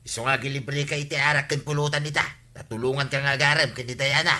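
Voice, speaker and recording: An actor playing a meddling, cheeky older neighbor in an Ilocano comedy radio drama, hemming and coaxing in an Ilocano accent. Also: male voice, medium pitch, fairly even intonation, quick pace, bright, forceful tone. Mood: scheming.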